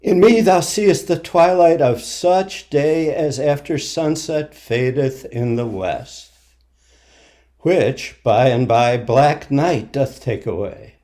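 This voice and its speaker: A man reciting classic verse for a congregation in a solemn, measured manner. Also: a little high, dark tone. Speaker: poetry reader at a congregation service.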